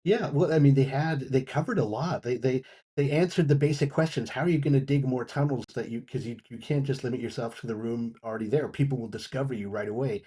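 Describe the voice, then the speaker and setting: A man giving an unscripted review as a guest on a talk show, in halting, often repeated phrases. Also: medium pitch, warm tone, slightly rough. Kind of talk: unscripted audio drama review.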